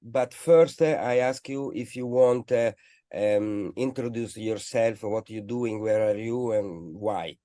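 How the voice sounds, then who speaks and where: A man hosting a radio interview, speaking haltingly with lots of fillers and restarts. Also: fairly low pitch, dark tone, no echo, studio quality. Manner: hesitant, halting phrasing with many fillers. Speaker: radio host.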